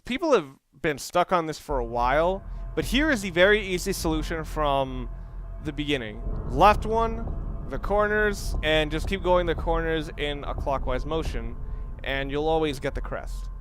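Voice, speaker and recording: A man with a somewhat high voice talks through a video game as a let's-play commentator. His delivery is casual and offhand, wandering a little as he explains things. Game music plays clearly behind his voice. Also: fairly bright tone.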